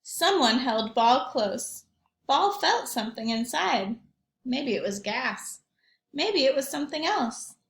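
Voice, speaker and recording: A woman with a fairly high voice reads a picture book to young children at a slow pace. She is expressive and uses simple phrasing, in a roomy, echoing space. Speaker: teacher reading aloud to young children. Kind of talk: storybook read-aloud with questions and comments. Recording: roomy echo.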